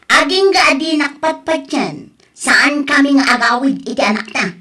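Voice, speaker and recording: A woman playing a sharp, reproachful young wife who scolds her husband in an audio drama. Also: high voice, expressive, normal speed. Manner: nagging, scolding.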